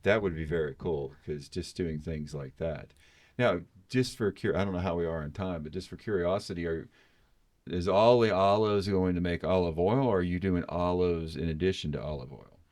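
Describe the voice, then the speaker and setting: A man with a very low voice hosts a casual podcast. He talks eagerly, wandering through long recommendations with fillers. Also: normal speed, darkish tone. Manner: enthusiastic, rambling recommendations. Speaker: podcast host.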